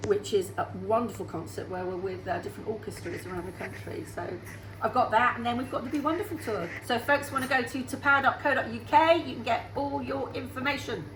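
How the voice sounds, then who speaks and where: A woman's voice: fairly high pitch, fast talker, a bit bright. A woman in her sixties, a singer being interviewed on radio, who talks in a chatty, upbeat way. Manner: chatty and upbeat, laughs easily. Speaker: singer guest. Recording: roomy sound with faint background hum.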